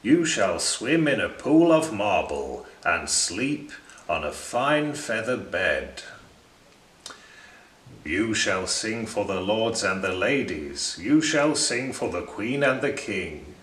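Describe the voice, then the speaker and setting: A greedy old male circus showman from a storybook, acted by a man reading aloud. He is grand and domineering, speaking slowly and rather low in an echoing room. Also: sing-song, a bit bright, heavily acted. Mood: greedy cajoling.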